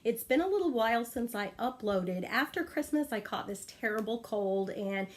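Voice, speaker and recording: A middle-aged woman, talking casually and enthusiastically through a planner tutorial, with a raspy, congested voice from a cold. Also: on the high side, lively intonation, moderate pace. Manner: friendly, enthusiastic, with frequent ums. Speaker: planner vlogger. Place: small home craft room.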